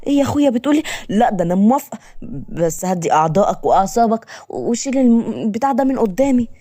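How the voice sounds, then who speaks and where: A woman's voice: sing-song, racing, dark tone, no room sound, clean studio sound. A woman voicing a frightened, sarcastic young woman in an audio story, stammering and indignant, with an Egyptian Arabic accent. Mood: panicked indignation. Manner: high, stammering, pleading and indignant.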